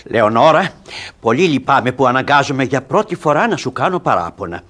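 An actor playing a bewildered, mild-mannered older gentleman in a radio comedy, earnest and reasonable in his protests. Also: mid-range voice, very animated. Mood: hurt, reproachful. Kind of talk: theatrical radio comedy acting.

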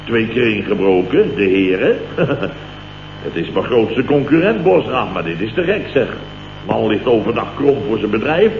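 An actor from the Netherlands playing a blustering, indignant company boss in a radio comedy, telling tales in clipped, mock-dramatic sentences with a Dutch accent. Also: male voice, on the low side, big pitch swings, normal speed. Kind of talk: 1960s-70s radio comedy acting. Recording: muffled old radio recording, noisy, roomy, hum.

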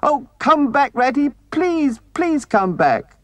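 A man voicing a small, timid, homesick male mole in a storybook, stammering and pleading anxiously in a high, strained voice. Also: sing-song, slow pace, a bit bright, no echo, full character voice. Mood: anguished pleading. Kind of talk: storybook character reading.

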